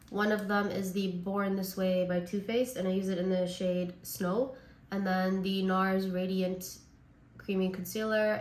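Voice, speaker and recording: A woman from Canada talks through her makeup routine in a loose, chatty way, her voice hoarse and raspy from a lingering cold. Her pitch sits in an ordinary middle range. The sound carries a noticeable echo from the room around her. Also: slight background noise. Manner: chatty, says like and honestly a lot. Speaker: beauty vlogger. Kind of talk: casual makeup tutorial chat.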